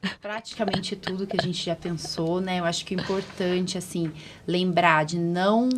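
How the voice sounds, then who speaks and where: A woman's voice: fairly low pitch, steady tone, normal speed, background noise. A Brazilian woman hosting a medical podcast as an allergist, chatting informally and often checking for agreement with tag questions. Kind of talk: casual interview chat.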